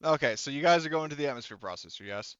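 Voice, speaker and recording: A man's voice: on the high side, lively intonation, racing, fairly bright tone, clean tone, no room sound, highs cut off. A man acting as game master in a casual tabletop roleplaying session, rambling and swearing as he talks. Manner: rambling, profane.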